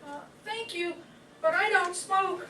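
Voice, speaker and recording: A woman's voice: very high-pitched, takes it slowly, pushed and bright, heavily acted. A woman playing a timid, sweet, naive young nurse in an amateur staged radio play. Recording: echoey, distant, faint noise.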